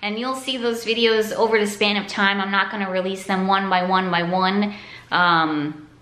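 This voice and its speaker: A woman's voice: medium pitch, fairly even intonation, moderate pace, a bit bright. A young adult American woman chatting casually and enthusiastically to viewers as a vlog host, recorded at home. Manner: upbeat, enthusiastic. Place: indoors at home, open room with kitchen. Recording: echoey room, faint background noise.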